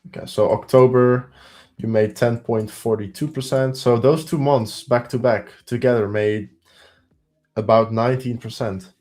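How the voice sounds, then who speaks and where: A man's voice: mid-range voice, fairly even intonation, normal speed, a little mellow. A man with a heavy Belgian accent hosting a live trading stream, thinking aloud as he counts through trades and results.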